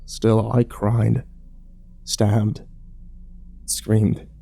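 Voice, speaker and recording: A man's voice: fairly low pitch, level tone, drawn-out words, vocal fry, warm tone, exaggerated voice. A man playing a shaken, bitter survivor who tells his own story in a grim horror audio drama. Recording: music bed under the voice.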